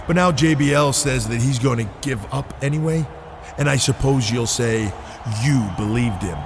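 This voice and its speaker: A man's voice: medium pitch, moderate pace, natural voice. Man acting as a sceptical wrestler, noisy backdrop. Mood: sceptical, accusing. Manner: accusing, sceptical. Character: suspicious wrestler questioning a rival's story. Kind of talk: scripted game cutscene acting. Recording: noisy game audio with ambience.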